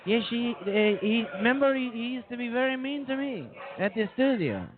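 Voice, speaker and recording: Very high-pitched sulky little engineer. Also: male voice, expressive, fairly quick, a crowd in the background. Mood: aggrieved. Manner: complaining, sulky interjections. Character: small hot-tempered grudge-holding male studio engineer called Sancho. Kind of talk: comedy radio sketch banter. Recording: dull lo-fi radio show audio.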